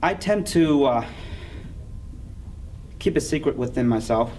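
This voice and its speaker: A man's voice: medium pitch, lively intonation, a little mellow. Vietnamese-accented man, distant and noisy. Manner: earnest, halting, many fillers. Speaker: interviewee. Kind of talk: oral history interview. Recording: distant mic with clear background noise.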